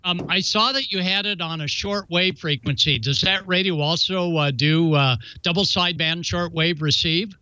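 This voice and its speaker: A man's voice: high-pitched, normal speed, few pauses, bright, forceful tone, smooth, dry recording. A man co-hosting in a casual radio style. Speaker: co-host. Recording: dull muffled audio.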